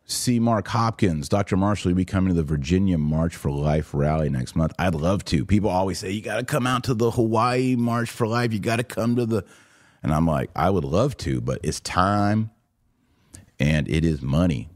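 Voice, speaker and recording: Deep-voiced American man, casual podcast host. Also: animated, moderate pace, dry recording, clean studio sound. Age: 40s. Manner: conversational, addresses listeners directly. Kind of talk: casual livestream commentary, answering viewer comments.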